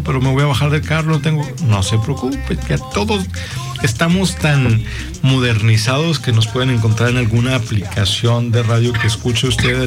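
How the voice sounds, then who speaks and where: A man hosting a live university radio talk show, chatty and expressive, speaking in a radio studio. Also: mid-range voice. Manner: warm, chatty, expressive, lively intonation. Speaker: radio host.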